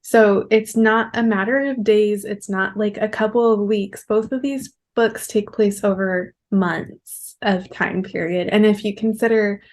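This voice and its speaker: A woman speaks in an average pitch as co-host of a casual book discussion. She sets out her thoughts in a measured, explanatory manner, conversational throughout. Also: steady tone. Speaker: book review show co-host.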